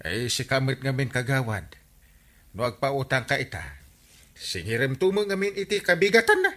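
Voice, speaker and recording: Excitable, swooping village captain. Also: male voice, normal speed. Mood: scolding, mediating. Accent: Ilocano. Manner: exclamatory, swooping into high outbursts. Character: excitable village captain settling neighbours' quarrels. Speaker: actor in a comedy drama. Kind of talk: broad Ilocano radio comedy drama acting.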